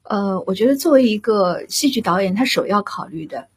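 A woman speaking as a drama director and guest, explaining her creative views in a measured, deliberate and emphatic way. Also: mid-range voice, lively intonation, breathy edge. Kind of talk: reflective discussion of creative work.

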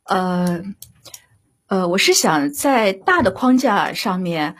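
A woman speaking as a drama director in an unscripted interview, halting as she searches for words. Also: mid-range voice, expressive, slightly rough.

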